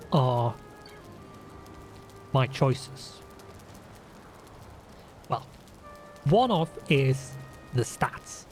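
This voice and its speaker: A man with a Scandinavian accent, sniffly from a runny nose, talking slowly through a game he plays. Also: mid-range voice, darkish tone. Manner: slow, deliberate, with restarts and fillers. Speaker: gaming channel host. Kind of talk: casual let's play commentary.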